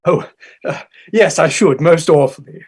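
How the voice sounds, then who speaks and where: A woman playing a bashful, smitten young upper-class gentleman in a staged comedy reading, husky, halting and awkwardly polite. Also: sits low. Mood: bashful, eager.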